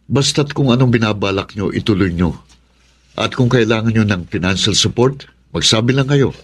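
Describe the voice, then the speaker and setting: An actor playing a reasonable, exasperated fiancé in a Filipino radio drama, speaking earnestly. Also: male voice, lowish voice, moderate pace, slight background noise, close to an everyday voice. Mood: subdued, weary. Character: reasonable, exasperated fiancé pleading with stubborn bride.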